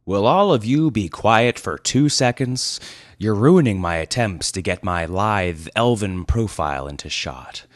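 A man's voice: on the low side, expressive, a little slow, dry recording, studio quality. A man voicing a vain elf hero with an affected drawl in a comic fantasy story. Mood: peevish, vain.

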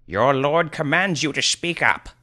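A man's voice: on the high side, lively intonation, a little slow, sharp tone, pitched above the performer's own voice. A man voicing a pompous old bearded sorcerer who declaims in archaic speech.

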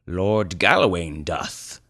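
A man's voice: deep voice, very animated, takes it slowly, sharp tone, dry recording, clean studio sound, exaggerated voice. A man voicing a wise old fellowship leader, lordly, formal and earnest, in a comic fantasy story. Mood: solemn. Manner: formal, earnest, mock-noble.

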